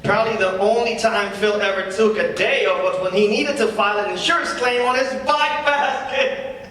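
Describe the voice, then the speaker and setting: Man playing a high-pitched cocky comedian, echoey hall. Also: moderate pace, a bit bright. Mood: cocky, teasing. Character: cocky, defensive male stand-up comedian, awkward and needling. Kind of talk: live dramatic script reading, stand-up patter. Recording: distant echoey hall, faint noise.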